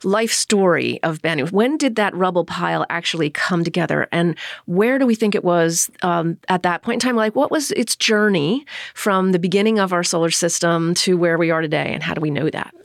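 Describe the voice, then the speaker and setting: A woman's voice: on the low side, a little fast, vocal fry, few pauses, dry recording, clean studio sound. A young adult woman hosting a science podcast, upbeat and conversational. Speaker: podcast host. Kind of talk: podcast interview.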